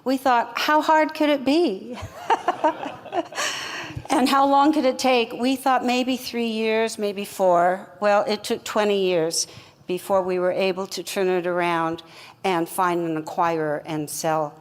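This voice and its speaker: An older woman, a company co-founder, telling her story in an interview in a measured, plainspoken way. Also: medium pitch, fairly even intonation, long reverb tail.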